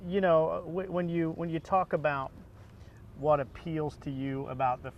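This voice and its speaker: Higher-voiced male interviewer over background noise. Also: little pitch movement, muffled recording. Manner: rambling, halting questions. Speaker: interviewer, faculty member and former football coach. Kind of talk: casual conversational interview.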